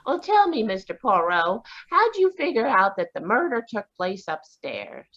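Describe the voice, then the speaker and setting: A defiant young woman suspect played by a woman in a vintage radio mystery. She fires back quick, indignant retorts in a husky voice that swoops widely in pitch. The audio is dull and lo-fi. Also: very animated, a bit bright. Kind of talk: 1940s radio acting.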